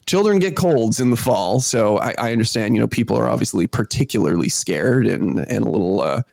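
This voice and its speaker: A man's voice: lowish voice, expressive, brisk, creaky, darkish tone, no room sound, studio quality. A Canadian man co-hosting a podcast in casual chat, congested with a cold, often repeating his phrases. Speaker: podcast co-host.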